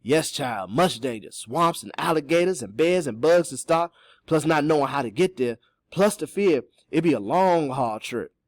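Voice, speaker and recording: A man's voice: on the high side, expressive, moderate pace, dry recording, voice pushed up, close to an everyday voice. A man voicing an old enslaved Southern man in an audiobook, sly and hopeful, giving urgent, folksy counsel. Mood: grave. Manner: whispering Southern dialect speech, urgent and folksy.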